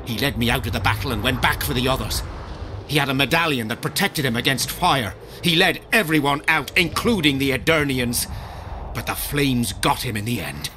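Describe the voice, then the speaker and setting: An actor playing an embittered veteran soldier in a video game, telling of war in a grim, coarse-tongued way. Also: male voice, mid-range voice, animated, moderate pace, background noise, natural voice. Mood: grim recounting. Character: embittered veteran soldier, gruff survivor of a lost regiment. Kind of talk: dramatic video game acting.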